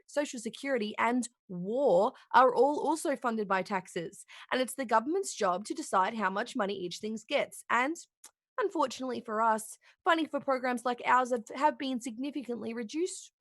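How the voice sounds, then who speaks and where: Kids' puppet show host actress: female, gentle, patient explainer, higher-pitched, dry sound. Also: no echo. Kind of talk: children's television host acting, play reading.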